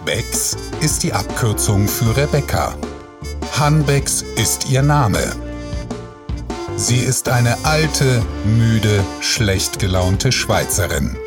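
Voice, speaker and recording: A slow, unhurried announcer voice reading a deadpan intro. Clear instrumental music plays under it throughout, like a show opener. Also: mid-range voice. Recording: narration over clear music.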